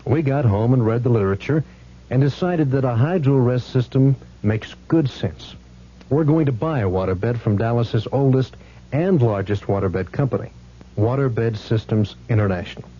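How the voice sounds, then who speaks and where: A man's voice: medium pitch, moderate pace, warm tone, slightly rough. A man giving a chatty, conversational testimonial in a 1970s radio advertisement. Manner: chatty, anecdotal. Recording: dull lo-fi 1970s radio recording.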